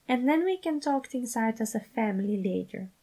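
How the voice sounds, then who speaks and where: A woman's voice: on the high side, animated, moderate pace, dark tone. A woman voicing a loving, fatherly man who talks earnestly and emphatically in a fan fiction reading. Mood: earnest, encouraging.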